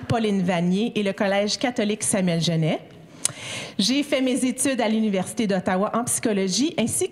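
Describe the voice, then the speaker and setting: A woman in her thirties from Ottawa, hosting a city hall ceremony in a chatty, joking way and laughing between lines. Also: medium pitch. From Ottawa, Canada. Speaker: master of ceremonies.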